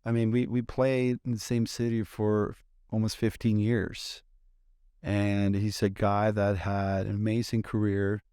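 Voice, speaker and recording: A man, hosting a casual podcast in a relaxed, thoughtful way, pausing to find his words. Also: fairly low pitch, clear, resonant tone, no echo, clean studio sound. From Sweden. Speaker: podcast host.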